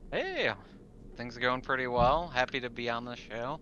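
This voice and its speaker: A man's voice: mid-range voice, animated, normal speed, pushed and bright. A man chatting casually as a player introducing himself on a tabletop game show. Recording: dull, noisy voice-chat audio.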